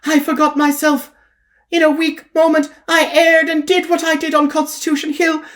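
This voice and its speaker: A man's voice: very high voice, little pitch movement, normal speed, a bit bright, pitched above the performer's own voice. A man voicing a young woman who confesses her past in a prim, plaintive tone. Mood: confessing.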